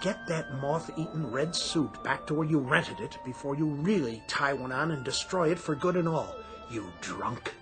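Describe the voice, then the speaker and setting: Man playing a pompous, high-pitched store manager. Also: animated, normal speed, few pauses, music in the background, natural voice. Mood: scornful. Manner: pompous, clipped, sarcastic. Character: stern, sneering, officious department store manager. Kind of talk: radio drama acting.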